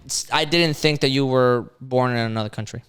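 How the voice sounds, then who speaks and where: A man's voice: medium pitch, a little fast, fairly bright tone, smooth. A young man with a Texan accent, chatting as a podcast co-host, agreeing often with "yeah, yeah". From Texas. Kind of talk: casual chat.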